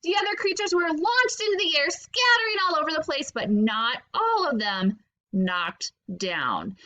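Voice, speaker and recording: A woman reading a children's book aloud as a teacher, in an expressive storytelling style. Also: high-pitched, measured pace.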